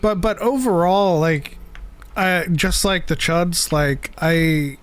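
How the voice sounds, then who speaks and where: A man's voice: high-pitched, slow, clean tone. A man co-hosting a casual livestream chat, reading viewer questions, rambling and joking with frequent laughs. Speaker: podcast co-host reading live chat.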